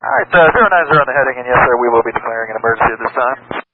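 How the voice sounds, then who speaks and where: Quick, calm male pilot over tinny radio. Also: medium pitch. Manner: calm, polite. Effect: radio transmission. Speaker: airline pilot. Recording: tinny narrow radio, loud static.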